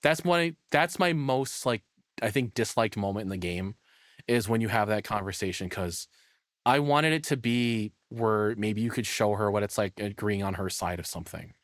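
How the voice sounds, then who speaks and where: A man's voice: lowish voice, normal speed, fairly bright tone, no echo, clean studio sound. A man giving casual, rambling and opinionated reaction commentary in an informal way. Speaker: reaction streamer.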